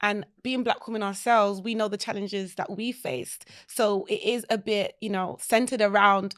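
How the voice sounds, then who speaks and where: A woman, a guest co-founder, affirming often and speaking warmly. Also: mid-range voice, steady tone, moderate pace, no room sound. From London. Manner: warm, affirming, many "mm"s. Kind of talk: podcast interview.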